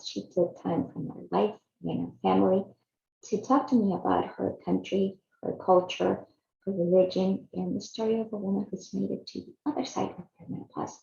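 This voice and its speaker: A woman's voice: mid-range voice, creaky, warm tone. A woman in her fifties from Chile, earnestly narrating a personal video series she hosts. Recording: echoey, slightly dull room sound.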